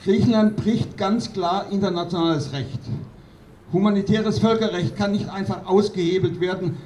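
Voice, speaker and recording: A man delivering a political speech at a protest rally in an emphatic, accusatory, declamatory way with deliberate phrasing. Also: high-pitched, fairly even intonation, darkish tone. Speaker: activist doctor speaking at a protest rally. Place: outdoor rally. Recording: distant outdoor mic with clear noise.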